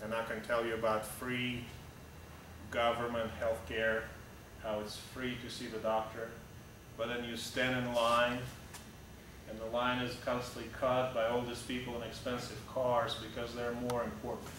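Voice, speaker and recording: A Russian-accented man in his thirties speaks to a group in a plain, conversational way, blunt and full of "uh"s and restarts. He sounds far from the mic, with a heavy echo from the big room and a steady hum behind him. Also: mid-range voice, moderate pace. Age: 30s. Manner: conversational and blunt, with frequent "uh" and restarts, gesturing while standing. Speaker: airline pilot giving a talk. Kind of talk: informal slide presentation to a group. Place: large echoey hangar-like meeting room indoors. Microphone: camera at the back of the room.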